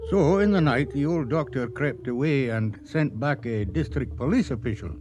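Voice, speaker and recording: A man's voice: mid-range voice, lively intonation, moderate pace, muffled recording, over music. A man narrating a radio drama from a script in a dramatic storytelling style.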